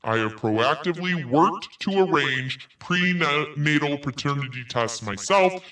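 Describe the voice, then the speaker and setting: A man's voice: on the high side, lively intonation, unhurried, pushed and bright, distant mic. Man reading dramatically, a bit off-mic. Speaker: podcast host reading out an email. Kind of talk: dramatic read-aloud of an email.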